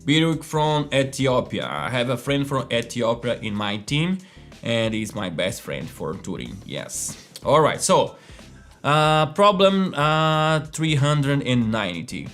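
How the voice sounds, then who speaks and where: A man leads a relaxed live coding lesson at a middle pitch. He talks in a loose, chatty way, full of fillers and quick "okay?" check-ins. Quiet music plays faintly behind him. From Brazil. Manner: chatty, lots of fillers, keeps asking "right?" and "okay?". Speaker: host of a live coding stream. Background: faint background music.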